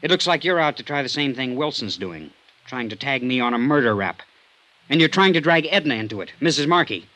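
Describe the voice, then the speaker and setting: A man's voice: mid-range voice, expressive, a little fast, pushed and bright, poor audio. An actor playing an uneasy, defensive young man in an old-time radio drama. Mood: defensive, irritated. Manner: hesitant, defensive.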